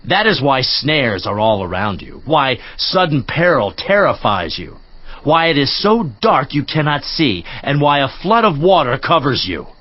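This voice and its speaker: A man's voice: medium pitch, very animated, a little slow, no room sound, highs cut off. A man playing a stern, righteous, accusing counselor of ancient scripture in an admonishing, declamatory dramatized reading. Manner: admonishing, declamatory, rhetorical questioning.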